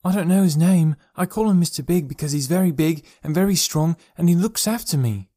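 A man doing a high stubborn little boy. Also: a little fast, dark tone, natural voice. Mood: earnest. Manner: pleading, insistent. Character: small stubborn ten-year-old boy.

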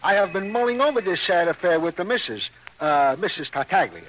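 High-voiced, plodding police sergeant. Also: male voice, very animated, brisk, fairly bright tone, slight background noise, rough recording. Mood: earnest, rambling. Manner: ungrammatical, roundabout, stilted phrasing. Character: earnest, plodding, simple-hearted police sergeant, long-winded. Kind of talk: 1950s radio crime drama acting.

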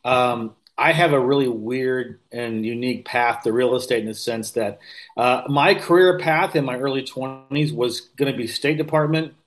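A man's voice: mid-range voice, normal speed. American man in his fifties telling stories. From Missouri, United States. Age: 50s. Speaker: podcast guest, real estate investor. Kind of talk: casual anecdotal interview talk.

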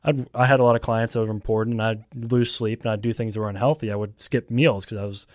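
A man's voice: fairly low pitch, steady tone, quick pace, no echo, clean studio sound. A man hosting a casual podcast conversation, asking questions in a loose, conversational way. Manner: conversational, questioning, with many "like" fillers.

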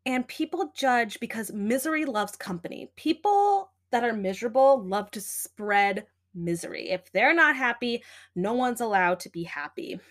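A young woman hosts her own podcast, talking straight to the listener in a loud and lively way. Her voice sits a little high, and she leans on fillers as she makes her points with emphasis. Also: moderate pace. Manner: animated, emphatic, chatty with many fillers. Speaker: podcast host. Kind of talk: casual solo podcast talk.

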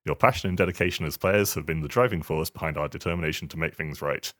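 A man's voice: extremely low pitch, quick pace, fairly bright tone, no echo, clean studio sound. A man talking casually on a podcast, sometimes reading a statement aloud. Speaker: podcast panellist.

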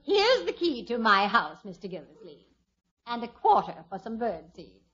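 A woman's voice: fairly high pitch, huge pitch swings, normal speed, a bit bright. A woman playing an overbearing, haughty older mother-in-law in a 1950s radio comedy. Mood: imperious. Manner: imperious, haughty, theatrical. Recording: dull vintage radio broadcast.

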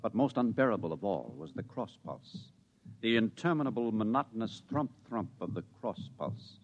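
A man narrating a 1950s radio drama with grave, deliberate phrasing. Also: lowish voice, animated, highs cut off, a little hiss or hum.

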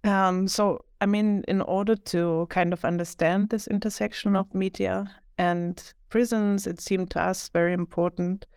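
Smooth, even-toned woman academic. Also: mid-range voice, unhurried, hardly any pauses, no echo, clean studio sound. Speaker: academic author, interview guest. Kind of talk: conversational interview answers.

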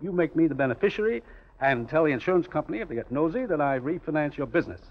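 An actor playing a cool, calculating gambler in a 1940s radio drama, smooth and commanding, with deliberate phrasing. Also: male voice, a little high, expressive. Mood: calmly persuasive. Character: cool, calculating male gambler offering a sinister deal. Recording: muffled old radio recording.

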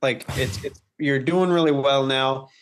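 Mid-pitched man, casual interviewer. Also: steady tone, unhurried, slight background noise. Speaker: podcast interviewer.

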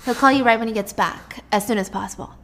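A woman's voice: a little high, quick pace, a bit bright. A woman voicing a placating male office assistant in a story retelling, politely stalling.

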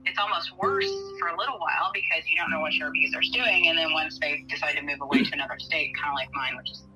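A woman's voice: low-pitched, fast talker, low-quality recording. Woman guest, earnest and candid, light laughs. Music bed behind. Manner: earnest, laughs between thoughts. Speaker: podcast guest, blogger. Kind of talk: casual interview chat.

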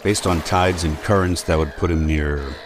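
A man plays a grave soldier in a radio drama. His voice is deep and businesslike, with music clearly behind it. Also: fairly even intonation, moderate pace, lightly acted. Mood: subdued, focused.

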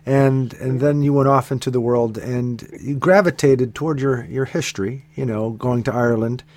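A man's voice: medium pitch, fairly even intonation, darkish tone. Man hosting a radio interview. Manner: measured questions with many fillers and restarts. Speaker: radio host.

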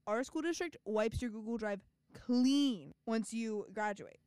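A young woman with a fairly high, clear voice recorded close with no room sound. She chats casually with her co-host, using "like" often and swearing offhandedly. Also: unhurried, darkish tone, dry recording. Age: young adult. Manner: chatty, uses "like" a lot, swears casually. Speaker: podcast co-host. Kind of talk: casual chat recapping a TV episode.

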